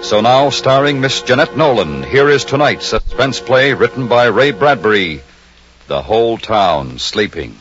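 A man's voice: medium pitch, very animated, a little slow, a bit bright. A man announcing a radio suspense play in a dramatic, portentous manner. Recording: dull old radio recording, music behind.

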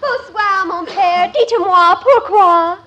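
An actress playing a dramatic, affected teenage daughter showing off in a vintage 1950s radio comedy. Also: female voice, very high-pitched, slow, fairly bright tone, highs cut off, some chatter behind, full character voice. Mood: airy, affected. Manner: affected, airy. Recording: vintage radio broadcast recording.

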